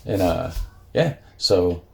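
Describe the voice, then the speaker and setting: A man's voice: low voice, expressive, slow, gravelly, a little mellow. A man with a Midwestern American accent, co-hosting a casual reaction chat and rambling with fillers and restarts. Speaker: reaction channel co-host.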